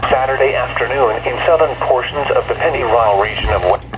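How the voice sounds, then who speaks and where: A man's voice: mid-range voice, a little fast, dull audio, noisy background. A male announcer reading a scripted weather forecast, heard through a radio speaker.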